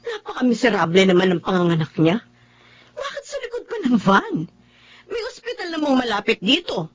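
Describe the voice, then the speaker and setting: An actress playing a nosy, insistent, impulsive wife in a radio drama, inquisitive and coaxing. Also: female voice, on the high side, wildly swooping pitch, a little fast, husky, full character voice. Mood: curious. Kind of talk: Filipino radio drama acting.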